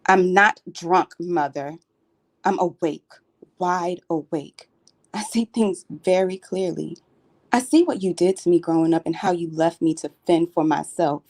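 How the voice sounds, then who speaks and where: A woman playing a bitter, neglected grown child deliberately confronting a mother in a dramatic audition monologue. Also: fairly low pitch, a little mellow. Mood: bitter, accusing. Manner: deliberate, accusing.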